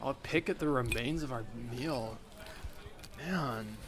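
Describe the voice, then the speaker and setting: Male improviser as exasperated young woman, sensible best friend, mid-pitched, incredulous, comedy podcast, faint background noise. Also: slow, lightly acted. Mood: exasperated, scolding. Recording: noisy remote recording.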